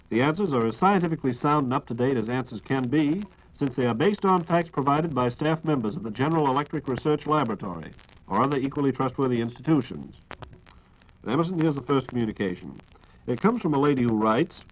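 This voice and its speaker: An American man hosting a scripted 1940s radio program, speaking in a formal, measured announcer's style. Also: medium pitch. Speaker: radio program host reading listener questions. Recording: dull lo-fi 1940s radio recording.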